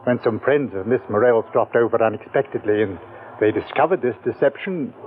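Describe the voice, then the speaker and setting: An actor playing a polite butler who hesitates with many fillers. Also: male voice, medium pitch, a little fast, a little hiss or hum, natural voice. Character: hesitant, deferential male butler. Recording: tinny old radio broadcast.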